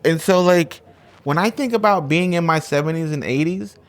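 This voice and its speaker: A man's voice: fairly high pitch, normal speed. A man in his forties hosting a casual interview, talking chattily and enthusiastically with plenty of "like". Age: 40s. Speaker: interviewer host.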